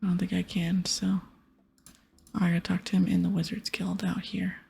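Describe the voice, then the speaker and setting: Breathy whispering woman, ASMR game playthrough. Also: on the low side, completely flat delivery, normal speed, a little mellow. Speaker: streamer reading game dialogue aloud.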